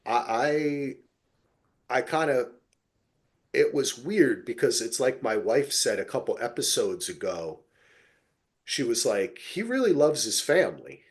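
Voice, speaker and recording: Middle-aged male podcast host: husky tone, conversational and enthusiastic, loose unscripted recap chat. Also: mid-range voice, very animated, unhurried.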